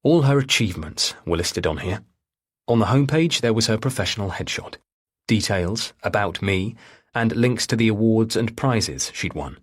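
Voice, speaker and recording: Man, low-pitched, husky, measured audiobook narration, suspense fiction. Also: animated. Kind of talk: scripted narration of a thriller novel.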